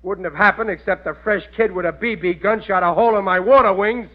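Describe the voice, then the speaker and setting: High-voiced blustering family man, acted by a man, tinny. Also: fast talker, very noisy, natural voice. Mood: stubborn, indignant. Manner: indignant, ungrammatical, boastful. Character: blustering, stubborn working-class family man, dim schemer. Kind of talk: 1940s radio sitcom acting. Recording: tinny, narrow old radio recording.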